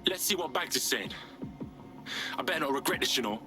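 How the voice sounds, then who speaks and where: Game casting for a male actor: brash, wary London street hacktivist, blunt, swooping pitch, music behind. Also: lively intonation, fairly quick, natural voice. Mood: indignant. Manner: slangy, cocky, blunt. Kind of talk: video game cutscene acting.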